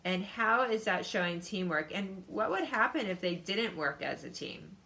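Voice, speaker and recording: A woman's voice: mid-range voice, lively intonation, moderate pace, vocal fry, sharp tone. A woman teacher reading a picture book aloud to children and explaining it in an instructive way, addressing them directly.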